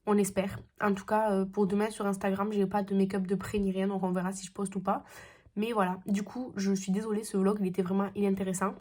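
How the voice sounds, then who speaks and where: A woman's voice: medium pitch, level tone, a little fast, darkish tone. Stuffy-nosed young woman rambling casually. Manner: chatty and meandering, full of hesitations. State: stuffy nose from a cold. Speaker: beauty vlogger. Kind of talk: casual vlog chat.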